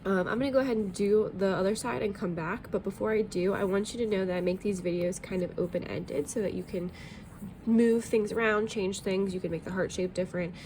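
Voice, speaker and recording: A woman's voice: medium pitch, steady tone, fairly quick, darkish tone, slight background noise. Sniffly woman teaching an art lesson. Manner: friendly, chatty, with frequent ums. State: sniffly from allergies. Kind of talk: classroom art tutorial.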